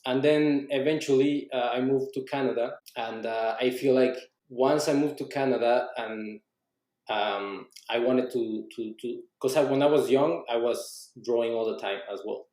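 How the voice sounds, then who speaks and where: A man's voice: mid-range voice, normal speed, a little mellow, roomy sound, poor audio. A young adult man from Mexico with a Mexican accent, a native Spanish speaker, chatting loosely as a podcast guest with many restarts. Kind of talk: casual interview conversation.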